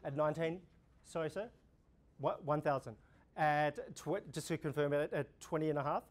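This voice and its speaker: A young man with an Australian accent, an auctioneer running a practice auction, calling bids in a steady repeating rhythm. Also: on the high side, moderate pace. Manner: rhythmic, repetitive bid calling, gestures while talking. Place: indoor room before a projection screen.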